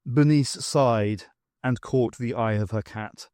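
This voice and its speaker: A man narrates a novel in an unhurried, measured way, with expressive turns. He sits close to the mic in a dead, dry space. His voice is mid-pitched and steady. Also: clean tone, no room sound. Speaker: audiobook reader. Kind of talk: fan audiobook narration.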